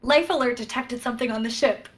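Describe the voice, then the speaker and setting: A woman's voice: fairly high pitch, quick pace, lightly acted. A woman playing an eager, quirky, chatty starship science officer in a sci-fi comedy play. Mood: excited. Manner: excitable, chatty.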